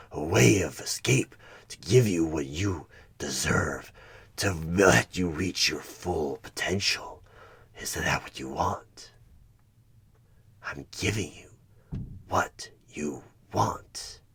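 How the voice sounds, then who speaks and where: A man playing a sinister evil tempter in a horror ASMR roleplay, coaxing and menacing in a slow, raspy half-whisper as a job interviewer. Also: deep voice, expressive, fairly bright tone, heavily acted. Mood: coaxing, insistent. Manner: slow, deliberate, persuasive, repeating words for emphasis.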